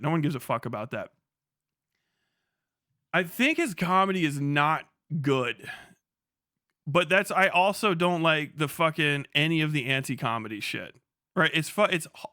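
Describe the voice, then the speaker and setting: Irreverent, profane male streamer with a high voice, recorded dry and close. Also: sharp tone, no room sound. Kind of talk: casual live-stream rant.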